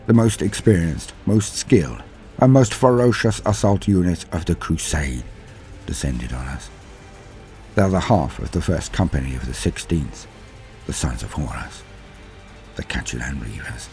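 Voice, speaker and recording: Grim veteran sci-fi war officer, played by a male narrator: low-pitched, solemn, dramatic pauses, faint music underneath. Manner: solemn, measured, dramatic pauses with hushed phrase endings. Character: grim, doomed male super-soldier officer recalling battle. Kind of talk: dramatic first-person scripted war-story narration.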